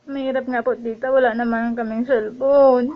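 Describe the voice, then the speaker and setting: A woman's voice: fairly high pitch, level tone, moderate pace. A woman with a Filipino accent chatting casually in a polite, worried, pleading way. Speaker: vlogger making a prank phone call. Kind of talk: casual phone chat, worried-sounding pleading. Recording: dull lo-fi audio with faint noise.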